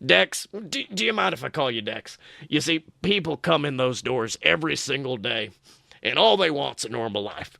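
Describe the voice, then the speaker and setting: A man voicing a pushy undead trickster who wheedles like a folksy, fast-talking salesman in a podcast story. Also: medium pitch, animated, vocal fry, pushed and bright, no echo, full character voice. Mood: slick pitch. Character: sleazy decrepit undead trickster ghoul, pushy pitchman.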